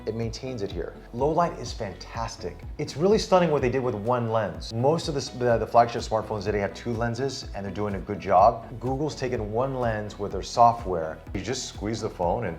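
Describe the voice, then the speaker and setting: A man's voice: on the low side, lively intonation, normal speed, few pauses, a little mellow, soft background music. A man reviewing a phone in casual chat, enthusiastic and demonstrating it hands-on. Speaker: tech reviewer. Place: Singapore studio.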